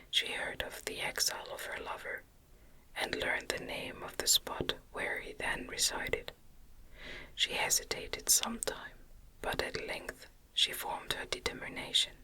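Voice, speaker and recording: A woman gently reading a gothic novel aloud as ASMR, in a breathy, measured whisper. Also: low-quality recording. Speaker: audiobook reader.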